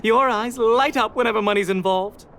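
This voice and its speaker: A woman's voice: on the high side, normal speed, fairly bright tone, lightly acted. A woman voicing a polite, gracious auction house attendant in a video game, formal and courteous. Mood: amused. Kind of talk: animated video game voice acting.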